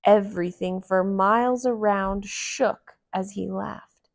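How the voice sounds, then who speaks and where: Slow-paced woman reading a children's story. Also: mid-range voice, fairly even intonation, creaky. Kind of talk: read-aloud storytelling for young children.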